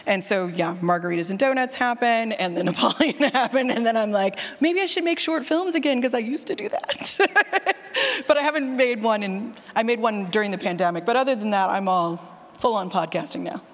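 A woman giving a casual, candid talk as a presenter, animated and self-deprecating, often acting out her own thoughts. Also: a little high, fairly quick. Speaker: presenter, fiction podcast writer. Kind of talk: casual conference talk with audience questions. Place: indoor session room with long reverb. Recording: reverberant hall.

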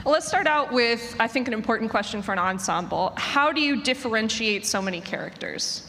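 A woman's voice: on the high side, expressive, brisk, a bit bright. A woman moderating a live panel, speaking as host to an audience in a conference room. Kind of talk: live panel introduction and hosting. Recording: reverberant conference hall, clearly noisy.

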